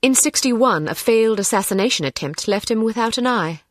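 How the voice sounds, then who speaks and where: An actress playing a determined, sharp-tongued young British spy in a video game, speaking in a dry, articulate way. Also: female voice, fairly high pitch, animated, fairly quick, dry recording, natural voice. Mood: matter-of-fact briefing.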